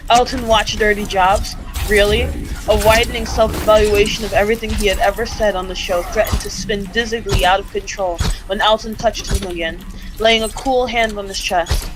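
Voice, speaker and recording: A woman's voice: mid-range voice, poor audio. A woman reading fan fiction aloud in a casual group call, giggly and often stumbling and repeating phrases. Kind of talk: amateur read-aloud of fan fiction during casual chat.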